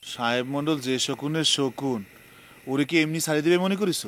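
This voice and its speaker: Fast, high-voiced, needling village man played by an actor. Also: a bit bright, lightly acted. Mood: grumbling, needling. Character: nosy, grumbling young village man, teasing and suspicious. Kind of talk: Bengali TV comedy drama acting.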